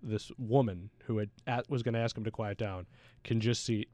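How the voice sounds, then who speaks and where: A man's voice: on the low side, steady tone, normal speed, mellow, no room sound. A man chatting casually about sports as a pundit, in a slangy, anecdotal way. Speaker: soccer pundit on a talk show.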